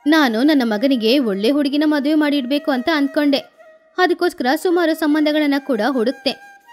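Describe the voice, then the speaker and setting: A woman's voice: sits high, moderate pace, soft background music, natural voice. A woman playing a proud, shrewd middle-aged village mother-in-law with a firm, emphatic manner in a story. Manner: firm, emphatic, defensive of family.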